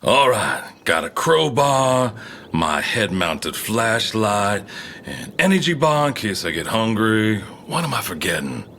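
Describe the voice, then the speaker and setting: An actor playing an eager, chatty moon base explorer in an audio drama, musing to himself in an animated way. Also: male voice, fairly low pitch, measured pace, heavily acted. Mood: eager, muttering. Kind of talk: scripted audio drama monologue.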